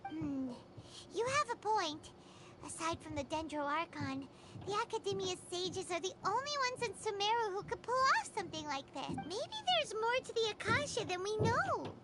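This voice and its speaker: A woman voicing a tiny childlike fairy companion in a video game, squeaky, earnest and exclamatory. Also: lively intonation, moderate pace, over loud music. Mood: concerned, pondering. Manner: refers to herself in the third person, exclamatory.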